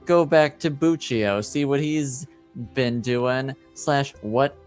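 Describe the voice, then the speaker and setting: A man working as game master, improvising narration for a tabletop roleplaying game in a halting, thoughtful way. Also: medium pitch, clear, resonant tone, soft background music.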